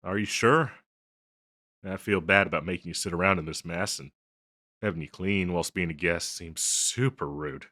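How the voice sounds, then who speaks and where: A man's voice: low-pitched, normal speed, bright, forceful tone, dry recording, studio quality. A man playing a flustered, self-conscious harpy boyfriend in an intimate audio roleplay, speaking hesitantly and apologetically with stammers. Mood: embarrassed, apologetic. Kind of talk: scripted audio roleplay, intimate one-sided dialogue.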